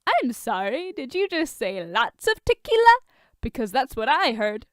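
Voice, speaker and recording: A woman's voice: high voice, very animated, unhurried, no echo, studio quality, pitched above the performer's own voice. A woman voicing a playful, teasing billionaire man with a sarcastic, goading way of talking, in a fan fiction reading. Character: playful, teasing, hard-partying billionaire man, smirking wisecracker.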